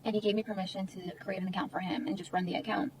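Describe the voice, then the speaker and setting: A woman's voice: medium pitch, little pitch movement, racing, slight background noise. A woman ranting in a casual vlog, exasperated and complaining.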